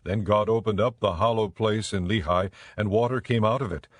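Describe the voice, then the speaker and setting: A man narrating scripture for a dramatized audiobook in a measured, solemn, scripted style. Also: fairly low pitch, no echo.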